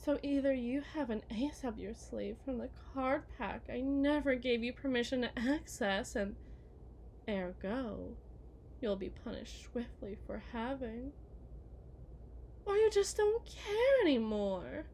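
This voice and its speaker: A woman's voice: fairly high pitch, lively intonation, a little slow, gravelly, darkish tone, slight background noise. A woman playing a genteel, possessive kidnapper wife in a theatrical ASMR roleplay, condescending and syrupy sweet with veiled menace. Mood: softly menacing. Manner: mannered, condescending, syrupy endearments, veiled threats.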